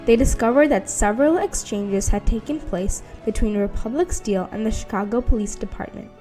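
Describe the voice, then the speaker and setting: A woman's voice: a little high, normal speed, mellow. A woman reading scripted documentary narration. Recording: music under the voice. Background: background music.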